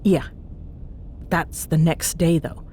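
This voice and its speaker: A woman's voice: steady tone, slow pace, darkish tone. A woman playing a teasing, bossy but fond young ghost hunter in an intimate ASMR roleplay, bantering sarcastically in a casual, murmuring way. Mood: relaxed, planning. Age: young adult. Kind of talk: ASMR audio roleplay, intimate one-sided chat. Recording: clear background noise with low rumble.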